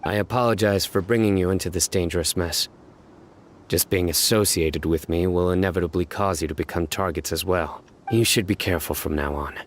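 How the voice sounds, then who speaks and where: Man playing a deep-voiced stoic investigator. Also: a little hiss or hum, close to an everyday voice. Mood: grave, measured. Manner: serious, measured, matter-of-fact. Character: stern, stoic male desert investigator, guarded and grave. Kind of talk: scripted video game dialogue.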